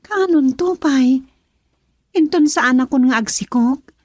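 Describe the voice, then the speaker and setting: A woman's voice: high-pitched, slow pace, darkish tone, no room sound, studio quality. A woman playing a frustrated young girlfriend in an Ilocano radio drama, emotional and pleading as she longs to marry.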